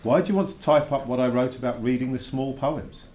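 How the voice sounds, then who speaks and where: A man's voice: medium pitch, brisk, darkish tone, close to an everyday voice. A man voicing a reluctant schoolboy who puts emphatic, grudging questions to his teacher in a children's storybook read-aloud. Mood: earnest, thoughtful. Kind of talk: dramatic read-aloud of a children's verse novel.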